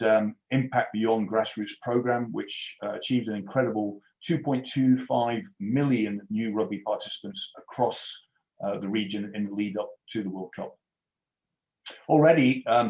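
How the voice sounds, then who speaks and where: Middle-aged man, faint Scottish accent, lowish voice, dry self-mocking presenter, roomy dull call audio. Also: darkish tone. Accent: mild Scottish, softened. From Scotland. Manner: self-deprecating, dry humour, many fillers and restarts. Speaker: rugby union executive, webinar guest. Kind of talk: presentation on a webinar. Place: home office. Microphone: headset on a video call.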